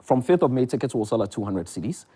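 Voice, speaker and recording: A man's voice: mid-range voice, very animated, quick pace, warm tone, slightly rough. A man with a Ghanaian accent talking conversationally as a theatre producer and director being interviewed on television. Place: television studio.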